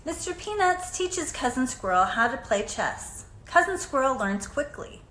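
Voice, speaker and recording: A woman reading a children's picture book aloud in an expressive, deliberate storytelling manner. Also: sits high. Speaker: storybook reader. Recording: echoey room, faint background noise.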